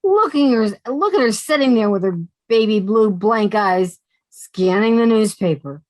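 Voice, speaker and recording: A woman's voice: on the high side, animated, a little slow, darkish tone, no room sound. A woman playing a sardonic young woman who narrates her roommate troubles in a 1950s-style radio comedy. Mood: wry. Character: wry young woman, sharp-tongued roommate.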